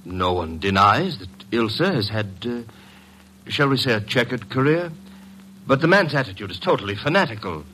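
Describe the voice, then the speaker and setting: A self-important stage actor from old-time radio, with faint noise on the recording. The voice is bright and very animated. He declaims floridly, with affected airs that easily give way to fluster. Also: medium pitch, normal speed, rough recording. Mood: confiding, dramatic. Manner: affected, florid, hesitant under pressure.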